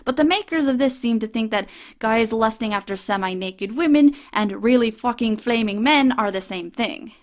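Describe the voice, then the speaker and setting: A woman's voice: fairly high pitch, normal speed. A woman reviewing an old film in a sardonic, casually profane comedic style.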